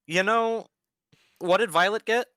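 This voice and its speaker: A man's voice: high voice, a little slow, pushed and bright, dry recording, clean studio sound. A man playing a tabletop game, asking questions hesitantly with many fillers. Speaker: player. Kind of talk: casual tabletop game chat.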